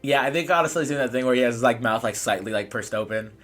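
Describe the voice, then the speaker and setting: A man with a mid-pitched voice improvises as a player in a tabletop game. He is casual and hesitant, building questions out of short broken phrases, with music playing behind him. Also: rapid-fire, a bit bright.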